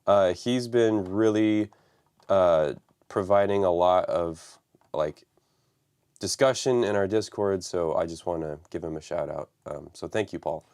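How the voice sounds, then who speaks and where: A man hosting a live stream from his home studio, raspy from the flu and talking loosely with many fillers. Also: deep voice, a little slow, a little mellow. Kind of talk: casual live stream talk.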